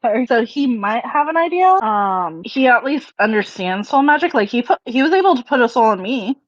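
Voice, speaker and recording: A woman's voice: a little high, lively intonation, brisk, dry recording. A woman chatting hesitantly in character as a tabletop game player, on lo-fi audio. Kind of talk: casual in-character game chat.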